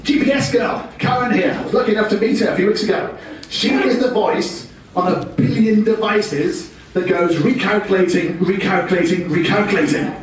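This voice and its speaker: A man's voice: high voice, moderate pace. A British man giving a business seminar talk with a jokey, self-deprecating manner. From United Kingdom. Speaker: presenter. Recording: very echoey room, distant mic.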